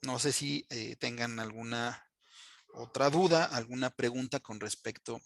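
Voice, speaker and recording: A man giving a technical training presentation as an instructor, speaking haltingly with frequent fillers and check-in tags. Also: medium pitch, dry recording.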